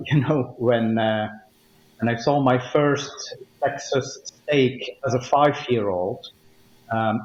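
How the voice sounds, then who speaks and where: A man's voice: medium pitch, a little mellow. Dutch-speaking man, roomy dull recording. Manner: thoughtful, hesitant with frequent ums. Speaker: guest, company legal officer. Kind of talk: conversational interview answers.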